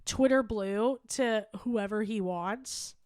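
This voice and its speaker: A woman's voice: mid-range voice, takes it slowly, a little mellow, no echo, clean studio sound. A woman of thirty co-hosting a comedy podcast, rambling casually with lots of swearing and laughter. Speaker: podcast co-host.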